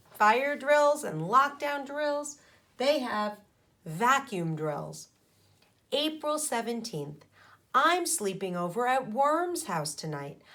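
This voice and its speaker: A woman's voice: medium pitch, a bit bright. A woman schoolteacher reading a children's book aloud, stepping in to explain and ask questions in a didactic way. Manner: didactic, pauses to question the young listeners. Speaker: elementary school teacher.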